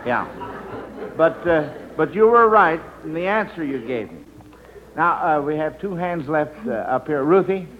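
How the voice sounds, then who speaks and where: Muffled old radio, higher-voiced American quizmaster man. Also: lively intonation, a little slow, slight background noise. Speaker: quiz show host. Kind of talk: old-time radio quiz hosting.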